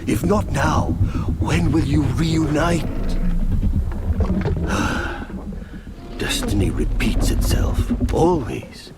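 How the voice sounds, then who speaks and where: A man's voice: fairly high pitch, animated, very slow, pauses often, very noisy. An actor playing a cryptic, fatalistic male spirit in a game, speaking wistfully.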